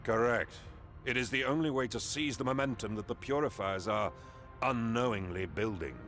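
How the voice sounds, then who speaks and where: An actor playing a solemn, resolute leader of holy warriors in a fantasy game, formal and deliberate in his speech. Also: medium pitch, fairly bright tone, lightly acted. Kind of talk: video game voice acting. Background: game music.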